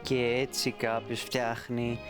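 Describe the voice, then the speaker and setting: A man's voice: mid-range voice, completely flat delivery, over music. A man giving a casual, rambling, playful screen-recorded tutorial.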